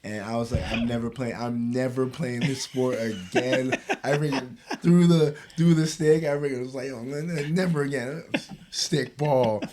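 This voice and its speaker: Animated slangy man telling stories. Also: mid-range voice, unhurried. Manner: animated storyteller, slangy, laughs mid-story. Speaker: podcast co-host. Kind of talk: casual storytelling chat.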